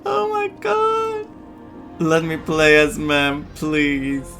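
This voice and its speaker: A woman giving casual playthrough commentary as a gaming streamer, in an excitable, gushing manner. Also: deep voice, extremely slow, soft background music.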